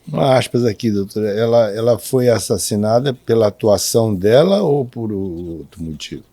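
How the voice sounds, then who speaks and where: A man's voice: fairly low pitch, expressive, moderate pace, dark tone, dry recording. A man who is a physician hosting an interview, asking formal, courteous questions. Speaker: host, physician.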